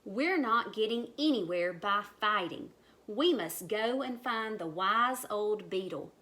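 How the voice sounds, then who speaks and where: A woman's voice: medium pitch, expressive, slow, bright, forceful tone. A woman voicing a formal, commanding chief frog from a children's storybook. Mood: commanding, urgent. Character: chief frog, polite but commanding male elder.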